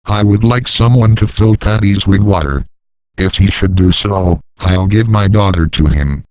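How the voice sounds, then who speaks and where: A storyteller voicing a farmer father from a folk tale, speaking in a plain, deliberate way. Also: low voice, darkish tone, no echo, pitched below the performer's own voice, low-quality recording. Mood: wishful. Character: anxious, grateful old-time farmer father.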